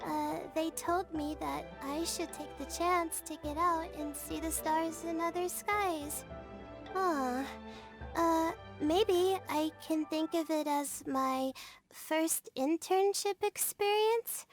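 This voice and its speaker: A woman playing a timid, weary, earnest young female student in a video game, hesitant and gentle. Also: extremely high pitch, heavily acted. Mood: weary, relieved. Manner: hesitant, drawn-out. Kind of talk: video game cutscene acting. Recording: faint music behind the voice.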